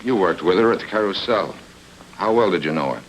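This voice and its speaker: Man playing a low, gravelly hard-boiled private eye. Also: expressive, brisk, slight background noise, low-quality recording. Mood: probing. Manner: terse, wry, needling. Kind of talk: film noir radio drama acting.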